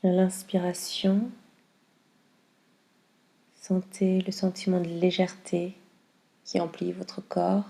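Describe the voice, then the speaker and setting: A woman guiding a yoga nidra meditation in a calm, soothing, unhurried way with long pauses. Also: fairly low pitch, gravelly. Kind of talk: slow guided meditation.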